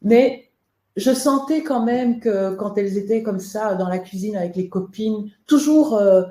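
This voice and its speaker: A woman's voice: mid-range voice, a little slow, mellow, echoey room. A woman from Belgium, the guest author in a webinar interview, talking in a hesitant way with many fillers and restarts.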